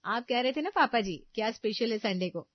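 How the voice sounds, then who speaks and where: A woman's voice: a little high, animated, a little fast, dry recording, lo-fi sound. A woman voicing a scheming young daughter-in-law in a moral story, sugary to elders and smug when plotting. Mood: sugary, persuasive. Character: scheming, self-satisfied young daughter-in-law cutting corners in the kitchen.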